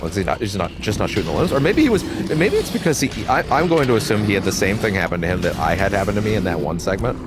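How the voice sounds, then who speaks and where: Low-voiced rambling gaming host. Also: male voice, quick pace, hardly any pauses, loud music behind the voice. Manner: rambling, many restarts, swears freely. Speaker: let's play host. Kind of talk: casual gameplay commentary. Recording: steady background noise.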